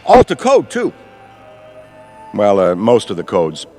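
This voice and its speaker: An actor playing Ray, an enthusiastic middle-aged veteran ghostbuster who rambles in wordy, professorial speech. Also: male voice, lowish voice, wildly swooping pitch, takes it slowly, a little mellow, faint music behind, exaggerated voice. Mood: lively. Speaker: veteran mentor. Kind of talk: video game cutscene voice acting.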